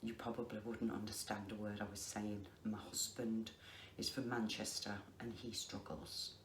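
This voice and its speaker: Middle-aged woman, Lancashire accent, slow and deliberate, lots of ums, echoey room, faint background hiss. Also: a bass voice, little pitch movement. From England. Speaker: craft vlogger. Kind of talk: casual chat to camera. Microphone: facing the camera.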